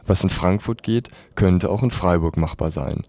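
A man's voice: low-pitched, moderate pace, dark tone, breathy edge, no echo. A man presenting scripted reports on the radio.

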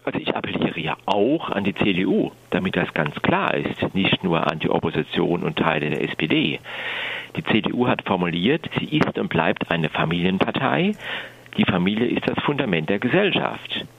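A man's voice: sits low, sing-song, moderate pace, creaky, breathy edge, lo-fi sound. A man giving a political appeal in a radio interview as a refugee rights advocate, speaking insistently and emphatically.

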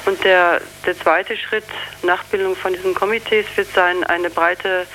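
A spokeswoman explaining in an interview, halting and searching for words. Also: lowish voice, fairly even intonation, a bit bright, a little hiss or hum, rough recording. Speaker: campaign spokeswoman being interviewed.

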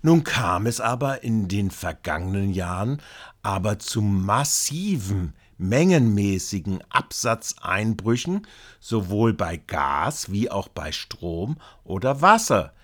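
A man reading scripted, critical news commentary in a formal, halting way with long sentences. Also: on the low side, sing-song. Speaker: reporter and commentator.

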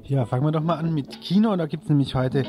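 Higher-pitched male radio presenter. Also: fast, over music. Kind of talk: radio news magazine presenting.

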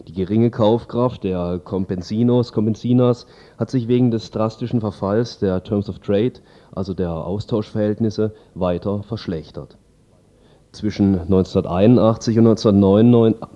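A man's voice: on the low side, warm tone, muffled recording. A man reading a scripted background report for radio news.

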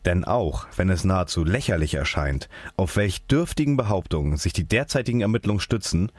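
A man's voice: low voice, expressive, a little fast, darkish tone, husky, no echo. A man, an activist, reading out a scripted political statement. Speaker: activist speaker. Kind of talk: scripted political speech read aloud.

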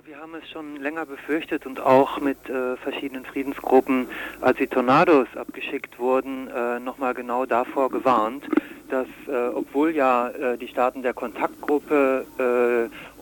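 A man answers interview questions off the cuff. He is halting, often filling pauses mid-sentence, over a faintly noisy radio recording. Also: mid-range voice, steady tone. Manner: halting, hesitant, with frequent filled pauses. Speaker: interviewee, peace network spokesman.

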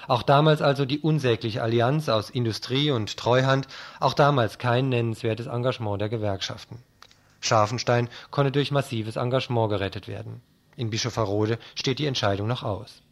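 A man's voice: medium pitch, moderate pace. A man reading a scripted radio news commentary in speech, as a reporter.

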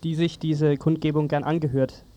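Guy doing radio interview questions, fairly high voice, really soft mellow tone. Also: level tone, moderate pace.

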